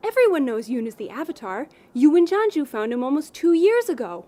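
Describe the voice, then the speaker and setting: A woman plays a teenage girl with a high voice. She is earnest and quick to disbelieve, in the manner of an animated visual novel. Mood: disbelieving. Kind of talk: fan visual novel voice acting.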